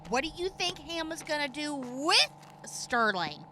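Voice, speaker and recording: Woman as a high, animated, cheeky mercenary. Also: a little slow, slight background noise, exaggerated voice. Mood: teasing. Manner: teasing, sassy. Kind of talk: scripted post-apocalyptic radio drama.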